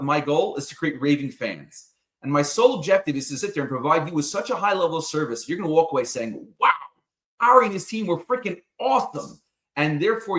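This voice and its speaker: A man reading an upbeat sponsor ad for his mortgage business in a salesy way. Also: a bit bright. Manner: upbeat salesy pitch, repeats phrases. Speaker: mortgage lender in an ad. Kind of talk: sponsor ad read. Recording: roomy echo.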